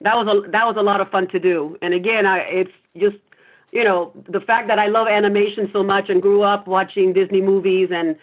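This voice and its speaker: A woman's voice: medium pitch, steady tone, fairly quick. A woman from Cuba, a Spanish speaker, chatting as a guest singer-songwriter and telling rambling stories with frequent laughs. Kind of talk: casual interview chat. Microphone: phone. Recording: tinny phone line.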